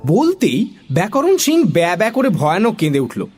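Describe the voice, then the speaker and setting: A husky-voiced narrator tells a comic children's tale. The delivery is very lively, rising and falling a lot, over faint music. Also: medium pitch, normal speed. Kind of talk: animated scripted story narration.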